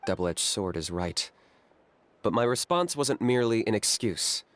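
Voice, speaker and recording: An actor playing a cool, reserved young hunter in a video game, terse, deadpan and matter-of-fact. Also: male voice, on the low side, a little slow, dry recording. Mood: cool, measured.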